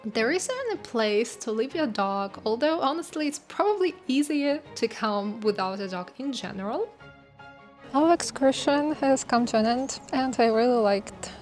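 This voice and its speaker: A woman's voice: on the high side, expressive, moderate pace, faint music behind. A woman vlogger giving travel narration and casual commentary on a sightseeing visit.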